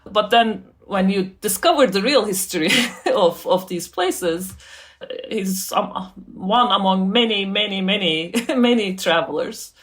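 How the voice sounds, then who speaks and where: A woman's voice: medium pitch, lively intonation, a little slow. A woman from Turkey speaks as an author on a podcast, in a middle register. She thinks aloud, restarts her sentences and laughs lightly and often. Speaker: author and scholar guest. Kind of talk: casual interview talk.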